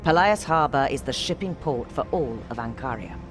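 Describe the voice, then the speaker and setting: An actress voicing a stern, middle-aged resistance leader in a video game, grave and authoritative. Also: female voice, extremely low pitch, lively intonation, moderate pace, music in the background. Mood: grave, briefing. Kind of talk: game cutscene briefing.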